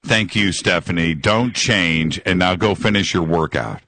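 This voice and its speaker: A man's voice: deep voice, measured pace, bright, forceful tone, dry recording. A man hosting a live call-in radio show, enthusiastic and rambling, repeating words and leaning on fillers.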